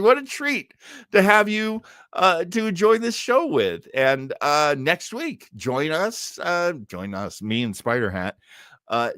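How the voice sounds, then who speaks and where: A man's voice: high-pitched, fairly bright tone, no room sound, clean studio sound. A man hosting a livestream in a casual, rambling, jokey way, often interrupting himself. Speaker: livestream show host.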